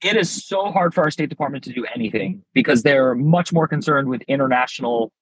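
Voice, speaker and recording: A man's voice: medium pitch, a little fast, slightly rough, no room sound, low-quality recording. A man appearing as a podcast guest, talking candidly in a rambling way, with frequent "like" fillers. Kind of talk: casual podcast interview.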